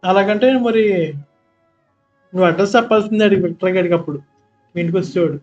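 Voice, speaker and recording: A man's voice: sits high, steady tone, very fast. A man from India with a Telugu accent, casually commentating live on a game as a streamer. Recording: muffled stream mic over game music. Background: game music.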